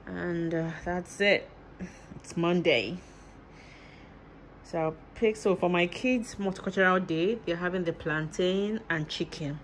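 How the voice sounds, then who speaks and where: Chatty Nigerian-born mother, lowish voice. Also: measured pace, slight background noise. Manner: chatty, laughs often, simple repetitive phrasing. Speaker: vlogger and mother. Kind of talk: casual home vlog chat. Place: home kitchen.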